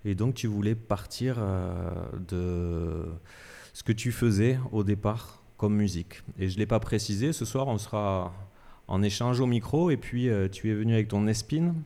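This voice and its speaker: A man's voice: lowish voice, moderate pace, gravelly, mellow, in a large hall. A man hosting a live radio interview at a cultural centre, asking questions hesitantly with many fillers and restarts.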